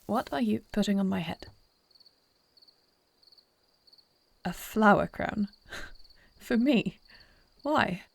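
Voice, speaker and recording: Casting: female actor as proud, quick-tempered, kind-hearted fantasy queen; regal formal diction with noticeable vocal fry. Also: medium pitch, animated, full character voice. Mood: touched, curious. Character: short-tempered yet caring newly crowned warrior queen. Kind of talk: fantasy audio roleplay acting.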